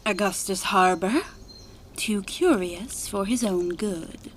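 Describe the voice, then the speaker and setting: Horror audio drama: menacing creature in stolen human skin, played by a woman, extremely slow and halting, faint noise behind. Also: medium pitch, close to an everyday voice. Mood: taunting, menacing. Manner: halting, disjointed, menacing speech. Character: eerie inhuman entity possessing a human boy's body.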